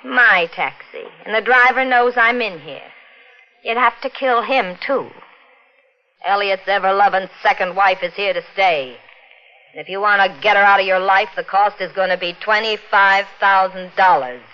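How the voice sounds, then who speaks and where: Actress as a sly blackmailing widow, muffled old radio. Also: female voice, mid-range voice, normal speed, natural voice. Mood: hard, threatening. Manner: sardonic, streetwise, insinuating, uneducated grammar. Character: brazen, sly blackmailing widow, coarse and shrewd. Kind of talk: 1940s radio mystery acting.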